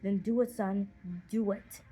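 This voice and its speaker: A woman voicing a wise, encouraging father eagle in a measured, reassuring way for a children's audiobook. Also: mid-range voice, fairly even intonation, noisy background, natural voice. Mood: calm encouraging. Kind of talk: audiobook character voice.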